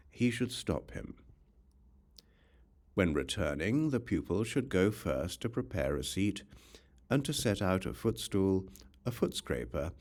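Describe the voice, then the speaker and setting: A man narrating a scripture audiobook in a measured, instructional manner. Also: low voice, a little mellow. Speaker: audiobook reader.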